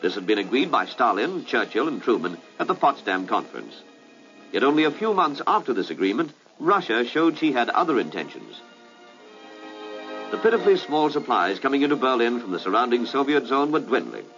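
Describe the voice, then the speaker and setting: A British man narrating a 1950s public information film in a formal, measured, emphatic way, on a muffled old film soundtrack. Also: mid-range voice, very animated, fairly bright tone, soft background music.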